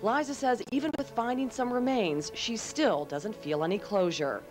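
A woman reporter narrating a local news feature in an even, measured delivery. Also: a little high, faint music behind.

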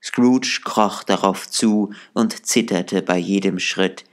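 A man reading an audiobook aloud in calm, measured storytelling narration. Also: lowish voice. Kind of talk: scripted narration.

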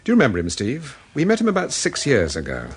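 An actor playing a courteous, composed gentleman detective in a British radio drama. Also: mid-range voice, wildly swooping pitch, quick pace, breathy edge, heavily acted. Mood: brisk, businesslike.

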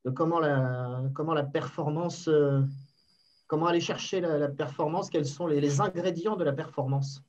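Male moderator, slightly high voice, far from the mic, muffled call audio. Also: darkish tone. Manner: halting, many fillers and restarts. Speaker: conference moderator. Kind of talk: online conference hosting. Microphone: headset on video call. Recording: distant dull webcam audio.

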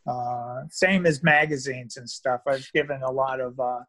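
A man's voice: medium pitch, steady tone, moderate pace, a bit bright, no room sound. An older retired man chatting casually about his hobby, rambling and often trailing off into filler phrases. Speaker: meetup guest, model railroad hobbyist. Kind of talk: casual chat. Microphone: phone at arm's length on video call.

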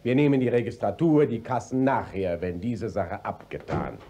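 An actor playing a brisk, authoritative court inspector in a classic German radio comedy, formal and commanding. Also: male voice, lowish voice, warm tone, highs cut off, close to an everyday voice. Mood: composed, official.

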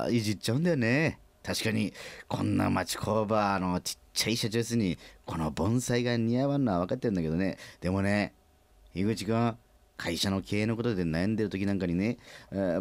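A man's voice: on the low side, no echo, natural voice. A man playing a rambling, jovial middle-aged small-factory president who loves his own puns, in a comic radio drama.

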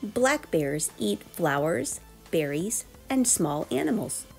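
A woman reading a children's nonfiction book aloud as storyteller, expressive and addressing young listeners directly. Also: medium pitch, slow, vocal fry. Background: background music.

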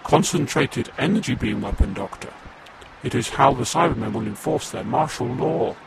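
A man playing a friendly Cyberman ally who speaks in a measured, formal way in a fan audio drama. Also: mid-range voice, animated, slight background noise, lightly acted, poor audio.

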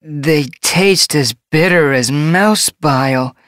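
A woman's voice: low voice, takes it slowly, no echo, clean studio sound. A woman voicing an earnest young expectant female cat who sounds eager to please.

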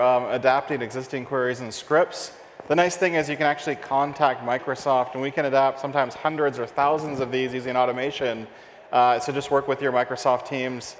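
A man's voice: medium pitch, long reverb tail, slight background noise. A man in his forties hosts an onstage tech interview with an upbeat, affirming manner, using a headset mic. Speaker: host. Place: large conference stage before an audience.

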